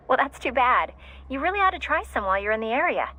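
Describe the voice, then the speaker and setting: A woman's voice: high voice, sing-song, brisk, bright, forceful tone, highs cut off, heavily acted. A woman voicing a friendly, playful and chatty support teammate in an English-language video game. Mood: friendly, teasing. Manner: chatty, enthusiastic.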